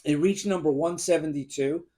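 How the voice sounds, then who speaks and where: A man in his fifties with a gruff, worn voice, enthusiastically ranking albums and listing songs to the camera. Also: a little high, moderate pace, warm tone. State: gruff, strained voice. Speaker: music video host ranking albums. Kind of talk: casual talk to camera.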